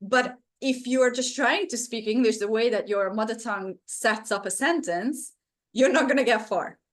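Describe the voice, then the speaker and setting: A woman's voice: a little high, normal speed. A woman whose first language is Hungarian, presenting a teaching webinar over a video call in an earnest, encouraging way with frequent fillers.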